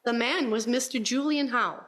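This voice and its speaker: A woman's voice: fairly high pitch, normal speed, fairly bright tone, a little hiss or hum. A woman narrating a radio play from a script in storytelling style.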